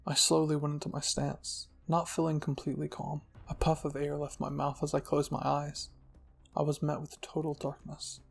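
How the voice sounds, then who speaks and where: A man's voice: a little high, creaky, breathy edge. A man narrating a scripted fan-fiction story dramatically, as the host of a storytelling channel. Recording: music behind the voice.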